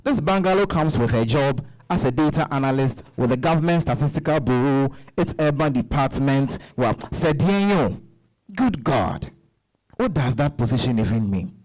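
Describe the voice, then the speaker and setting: A man's voice: medium pitch, expressive, normal speed, darkish tone, natural voice, rough recording. A man playing a frustrated, declamatory husband pleading and complaining in a dramatized novel reading. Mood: aggrieved. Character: frustrated, aggrieved husband complaining about his career-minded wife.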